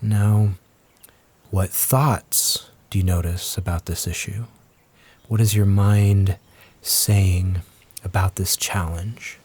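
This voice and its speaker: Slow, low-pitched man guiding a meditation. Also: steady tone, slightly rough. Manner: gentle, measured, with long pauses. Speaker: guided meditation teacher. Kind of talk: guided meditation, slow scripted instruction.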